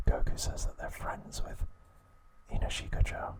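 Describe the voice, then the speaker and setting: A man whispering softly and deliberately in short phrases, narrating a recap in ASMR style with a breathy, unvoiced voice. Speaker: host of an ASMR channel.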